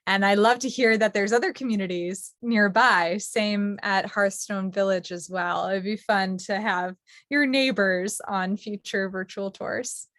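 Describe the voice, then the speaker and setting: A woman's voice: medium pitch, steady tone, measured pace, dry recording. A woman hosting a virtual tour, thanking speakers and steering to the next questions. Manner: warm, affirming, steers to questions. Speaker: tour host. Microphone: video call. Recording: dry close audio.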